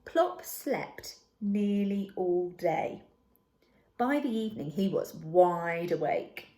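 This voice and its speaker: A woman reading a children's story aloud as narrator, in an expressive and deliberate manner. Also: lowish voice. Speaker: storybook reader.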